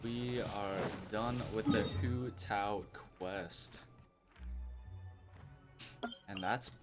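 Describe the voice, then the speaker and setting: A man's voice: fairly low pitch, steady tone, very slow. A man giving casual, laid-back live commentary as a game streamer, in short reactions. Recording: stream mic over loud game music.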